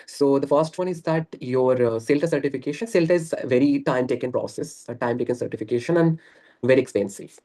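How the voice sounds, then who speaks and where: A man's voice: mid-range voice, quick pace, dark tone. A man talking earnestly and at length on a video call, laughing often. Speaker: guest. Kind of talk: casual conversation practice.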